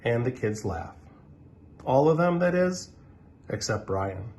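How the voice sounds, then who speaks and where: A man reading a children's story aloud in an animated, expressive way, with dramatic pauses. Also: medium pitch, slight background noise.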